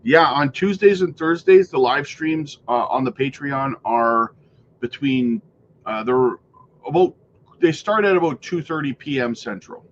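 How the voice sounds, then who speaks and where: A man's voice: mid-range voice, animated, measured pace, dull audio, a little hiss or hum. A man hosting a casual crypto livestream, rambling through viewer comments with many fillers while losing his voice.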